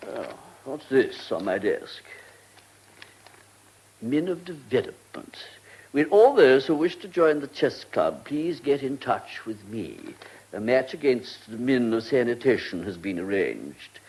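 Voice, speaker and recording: A man's voice: medium pitch, big pitch swings, a little slow, darkish tone, husky, full character voice, low-quality recording. A man playing a pompous, pedantic British government minister in a radio comedy drama, fussy and self-important. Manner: pompous, pedantic, hesitant asides.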